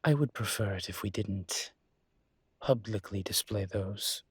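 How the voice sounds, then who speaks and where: A man playing a suave, flirtatious demon professor in an intimate audio roleplay, speaking in a courtly, teasing way with formal wording and hesitant pauses. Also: fairly low pitch, slightly rough, no echo. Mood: measured, explanatory.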